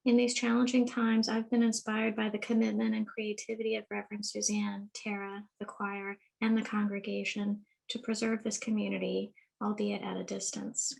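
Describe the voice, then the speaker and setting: A woman's voice: medium pitch, little pitch movement, moderate pace, creaky, hardly any pauses, a little mellow, poor audio. A woman in her thirties reading an earnest church testimonial over a video call. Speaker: church member giving testimonial.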